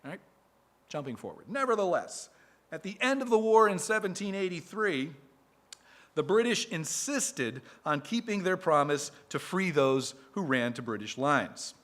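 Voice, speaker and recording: A man reading a prepared talk to a live audience as a speaker for a historic site, measured and steady. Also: a little high.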